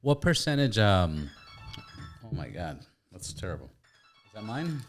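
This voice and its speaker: Deep-voiced dude hosting his podcast from home, laid back, drops a swear here and there. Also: big pitch swings, unhurried, faint music behind. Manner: loose and casual, swears in passing. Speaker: podcast host. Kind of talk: casual chat.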